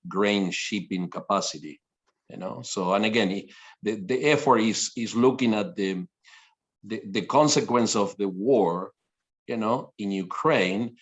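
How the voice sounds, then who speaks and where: A male panelist on a video call with a fairly low voice. He phrases things loosely and searches for his words as he goes. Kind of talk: casual panel discussion.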